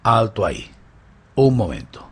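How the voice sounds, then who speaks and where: A man playing a suave, playful, boastful gentleman thief in a radio drama, with mocking, grandly courteous theatrical delivery. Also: on the low side, big pitch swings, unhurried, breathy edge, full character voice.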